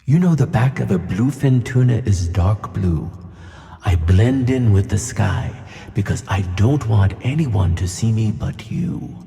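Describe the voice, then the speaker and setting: Deep, slow, gravelly giant old fish. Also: male voice, expressive, warm tone. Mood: gentle, wistful. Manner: slow, measured, kindly. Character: huge, gentle old flying bluefin tuna, wise and wistful. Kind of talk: children's audio play acting. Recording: long hall reverb on voice.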